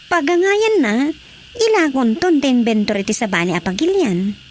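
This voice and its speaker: High-ish curious, concerned woman. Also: sing-song, normal speed. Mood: opinionated. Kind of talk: Ilocano radio drama comedy acting. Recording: background ambience noise.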